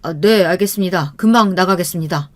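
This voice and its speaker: A woman's voice: lowish voice, brisk, close to an everyday voice. A woman voicing a kind, protective middle-aged father who talks earnestly. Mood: polite.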